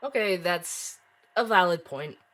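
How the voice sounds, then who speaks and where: A man's voice: high voice, a little slow, sharp tone. An actor playing a probing, skeptical male investigative host in an audio drama, with hesitant but pointed questioning. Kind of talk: audio drama interview and narration.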